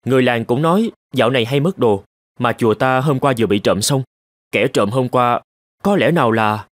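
A man's voice: medium pitch, a little fast, dry recording, clean studio sound, lightly acted. A man voicing a young novice monk in a cartoon folk tale, polite, earnest and deferential to his master. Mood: troubled. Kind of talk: animated folk tale dialogue.

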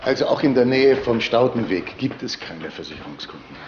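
A man's voice: medium pitch, very animated, brisk, lo-fi sound, a little hiss or hum, close to an everyday voice. An actor playing an eager, inquisitive male junior police investigator in a German crime radio drama. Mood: matter-of-fact.

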